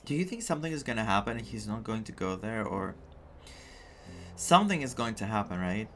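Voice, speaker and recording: A man's voice: fairly low pitch, big pitch swings, a little fast, a bit bright, faint music behind. A man giving casual playthrough commentary as a gaming streamer, musing aloud in short remarks.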